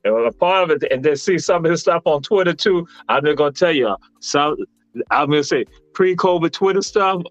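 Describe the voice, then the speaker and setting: A man's voice: fairly high pitch, brisk, dry recording, muffled recording. A man hosting a casual sports chat show, rambling and restarting his sentences. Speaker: show host.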